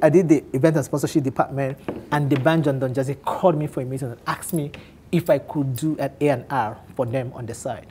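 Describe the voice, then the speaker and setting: Man, thirties, Igbo Nigerian accent, fast storytelling, laughs often, faint hiss behind. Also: mid-range voice. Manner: animated, laughs often, many restarts. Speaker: guest on a men's talk show. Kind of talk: candid storytelling chat.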